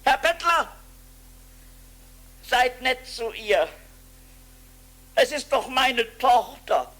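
A blustery fairy-tale king who makes solemn declarations and shouts for his guards. His voice is pitched very high and sounds bright and sharp, with lively pitch. He speaks slowly and grandly. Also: exaggerated voice. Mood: commanding. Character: pompous old king, proud of his word. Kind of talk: fairy-tale radio play acting.

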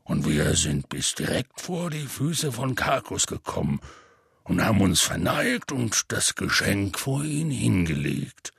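A man voicing a huge, gruff, good-natured male half-giant who tells a rambling, folksy story in a deep gravelly rumble. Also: big pitch swings, measured pace, a bit bright, no echo, clean studio sound, full character voice. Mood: telling tale. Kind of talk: audiobook character voice.

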